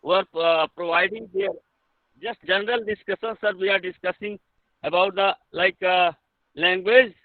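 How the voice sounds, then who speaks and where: A man speaks haltingly, pausing to search for words. His voice is high. It comes through a thin, tinny phone line. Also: fairly even intonation, no room sound. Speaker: session participant. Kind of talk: casual discussion.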